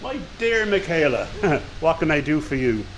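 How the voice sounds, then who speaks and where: A sly, insolent circus hand in a radio drama. He talks in a smug, mocking way, pitched slightly high. The recording has some background noise. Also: big pitch swings, moderate pace, rough recording. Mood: sneering. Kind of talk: naturalistic radio drama acting.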